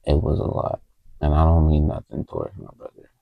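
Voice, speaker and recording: A man recording a heartfelt, halting confessional vlog on a handheld phone in a small closet, with a TV faintly audible from the next room. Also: rumbling low, completely flat delivery, gravelly, dry recording, narrow, tinny audio. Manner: halting, heartfelt, stops often to find words. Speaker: vlogger. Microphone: handheld phone camera. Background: faint TV music from the next room.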